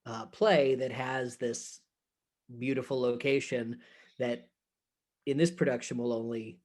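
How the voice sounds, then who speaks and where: Male theater director in casual interview, mid-pitched, halting and reflective, feeling for each phrase. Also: fairly even intonation, a little mellow. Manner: halting, thoughtful, searching for words. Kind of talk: casual interview chat about a production.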